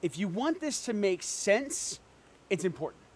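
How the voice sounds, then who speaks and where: A man's voice: high-pitched, big pitch swings, measured pace, heavily acted. A man acting a cocky, teasing twin brother who is flippant and unfazed by jail in an amateur drama reading. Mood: insistent, needling.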